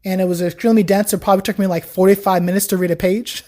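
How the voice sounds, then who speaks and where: A woman's voice: mid-range voice, very fast. A young woman, a guest on a podcast, chatting casually about learning Chinese, telling her story with lots of "like". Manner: chatty, animated storytelling with frequent "like". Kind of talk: casual interview chat.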